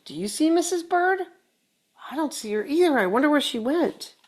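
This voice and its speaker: A woman's voice: high-pitched, animated, normal speed, a little hiss or hum, pitched above the performer's own voice. A woman voicing an earnest, devoted and worried male bird husband in a children's storybook. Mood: forlorn.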